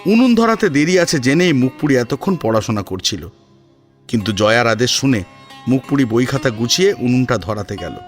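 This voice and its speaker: A man's voice: mid-range voice, sing-song, moderate pace, faint music behind. A man narrating a scripted family story as a dramatic storyteller.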